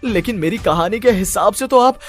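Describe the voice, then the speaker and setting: A man's voice: high voice, normal speed, soft background music, pitched above the performer's own voice, lightly acted. A man voicing a frantic young writer in a cartoon horror story, agitated, pleading and stammering. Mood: frightened. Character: panicked, frantic young male writer afraid of spirits. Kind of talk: animated horror story dialogue.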